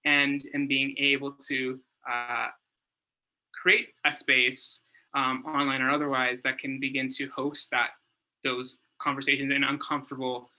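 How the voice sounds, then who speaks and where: A man speaking informally on an online panel, haltingly searching for words and repeating phrases as he goes. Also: medium pitch, flat delivery, bright, forceful tone, low-quality recording. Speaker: panelist and community developer. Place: online video call.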